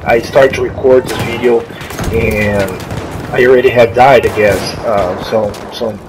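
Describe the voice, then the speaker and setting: A man's voice: mid-range voice, steady tone. A young man from Brazil with a Brazilian Portuguese accent, a non-native English speaker who halts and restarts while commenting on his gameplay. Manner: halting, searching for words, many restarts. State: coughing from allergy. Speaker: gamer. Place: friend's house while traveling. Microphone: makeshift mic, not his usual. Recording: noisy, poor-quality home recording.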